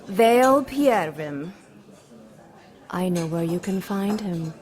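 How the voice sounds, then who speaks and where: A woman's voice: on the low side, lively intonation, takes it slowly, a little mellow. A woman voicing a seductive, imperious demon queen in an audio drama, coy, mocking and theatrical. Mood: cool, sly. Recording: crowd chatter behind the voice. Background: crowd chatter.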